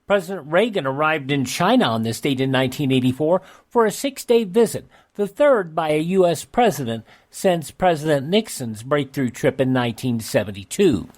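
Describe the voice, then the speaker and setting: A man's voice: a little high, lively intonation, normal speed, hardly any pauses, darkish tone. A man hosting a radio show, reading a scripted narration of historical events.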